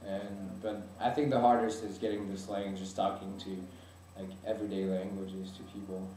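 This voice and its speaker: A man's voice: low-pitched, little pitch movement, normal speed, mellow, a little hiss or hum. A young man from France whose first language is French, answering interview questions in English with lots of fillers and restarts. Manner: hesitant, many fillers and restarts. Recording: echoey room from a distance.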